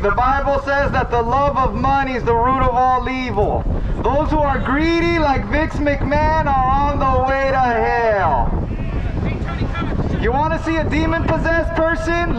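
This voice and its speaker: A man preaching loudly outdoors to a street crowd, condemning and repetitive in manner. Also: very high voice. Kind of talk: open-air preaching to passing crowds. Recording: distant, muffled outdoor recording with street noise.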